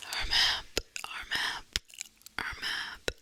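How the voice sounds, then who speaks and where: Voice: drawn-out words, sharp tone. A storyteller narrating a first-person horror story entirely in a whisper, ASMR style, sometimes repeating words for effect.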